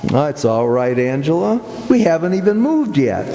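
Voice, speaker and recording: A man playing a courteous, eager young newlywed English gentleman in a radio play, polite and wry in period diction. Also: fairly high pitch, very animated, normal speed. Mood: cordial. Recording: echoey hall with clear background noise.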